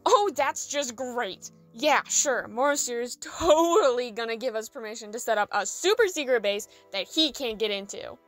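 A young female dragon from an audio drama, acted by a woman. She is eager and blunt, with a high, expressive voice. Faint music sits behind her. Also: normal speed, hardly any pauses. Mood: sarcastic, exasperated.

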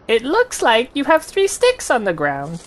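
A woman's voice: on the high side, wildly swooping pitch, a little slow. A woman voicing a small, timid young male piglet in an audio drama, hesitant, polite and stammering. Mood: puzzled.

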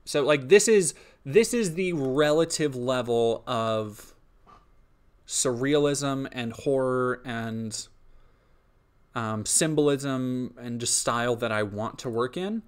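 A man's voice: mid-range voice, takes it slowly, clear, resonant tone. A young man chatting casually on a stream, answering chat, rambling with frequent ums and restarts, a little sniffly and sleepy. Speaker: streamer and indie game developer.

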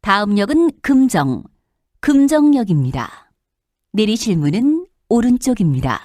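A woman announcer reading scripted subway station announcements in a formal, clearly enunciated manner. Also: mid-range voice, big pitch swings, measured pace, darkish tone, slight background noise. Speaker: train announcer.

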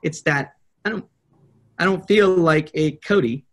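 Higher-pitched man on a video call. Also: a little slow. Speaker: prospective coaching client, public speaker. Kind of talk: conversational sales video call. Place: small indoor office. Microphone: video call, computer microphone.

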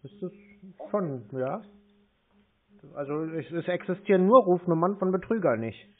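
A man's voice: high-pitched, lively intonation, normal speed. A blunt, mocking man in a confrontational phone call. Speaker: scam-baiting prank caller. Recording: tinny narrowband phone line.

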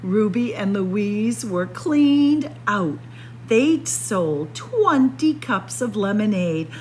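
A woman reading a picture book aloud to children as a storyteller, expressive and deliberate. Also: medium pitch, slight background noise. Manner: expressive, deliberate, addressing young listeners as friends. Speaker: librarian storyteller. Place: indoor library room with bookshelves.

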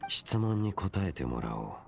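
Casting: a cold, solemn young wanderer for a fantasy game, bottom-register voice, unhurried and formal. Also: male voice, deep voice, big pitch swings, a little hiss or hum. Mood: cool, measured. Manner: measured, stern, detached. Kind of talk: Japanese game voice acting.

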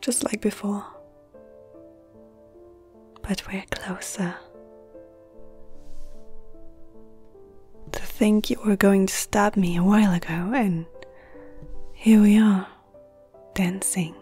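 A woman voicing a flirtatious, gentle female vampire noble in an intimate, teasing ASMR roleplay, soft and often close to a whisper. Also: mid-range voice, fairly even intonation, slow pace, long pauses, breathy edge, faint music behind. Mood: tender, flirtatious.

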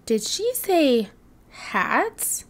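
A woman's voice: high-pitched, very animated, drawn-out words, voice pushed up, exaggerated voice. A woman voicing an eager, easily muddled young boy dinosaur in a storybook, with emphatic childlike exclamations. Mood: excited.

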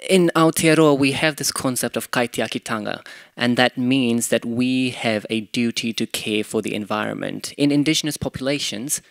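A man's voice: mid-range voice, moderate pace, creaky, few pauses, dry recording. A young man from Fiji speaking earnestly on a conference panel into a handheld microphone. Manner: earnest, impassioned. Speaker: youth panelist. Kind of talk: panel discussion. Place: conference room with window blinds.

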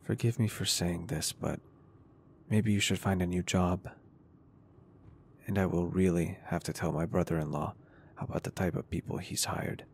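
A man's voice: deep voice, fairly even intonation, moderate pace, creaky, slight background noise. A man playing a caring, flirty and confident business owner in an intimate ASMR roleplay, speaking in a reassuring, polite and earnest way. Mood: frank.

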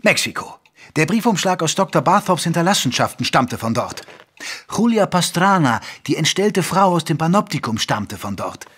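A man playing an ageing Victorian doctor, a loyal, wry companion who tells the story in a measured, literate way in an audio drama. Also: a little high, very animated, fairly bright tone, husky, exaggerated voice. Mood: concerned, insistent. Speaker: narrator and character in an audio drama. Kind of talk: German audio drama narration and dialogue.

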